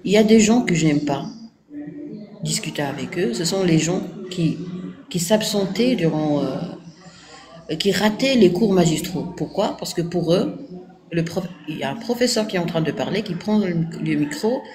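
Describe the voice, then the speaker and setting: A woman's voice: on the low side, lively intonation, moderate pace. Arabic-accented woman lecturing over crowd noise. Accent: Arabic-accented French. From Morocco. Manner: didactic, firm, repeats "ok?" to check agreement. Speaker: live streamer answering viewer comments. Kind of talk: casual live-stream talk.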